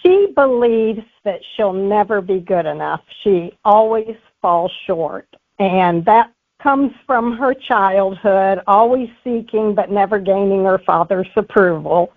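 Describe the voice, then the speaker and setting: A woman's voice: mid-range voice, takes it slowly. A woman author with a mid-range voice answers interview questions conversationally. She sounds narrow and phone-like, with no room echo. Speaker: author guest. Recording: thin phone-like line.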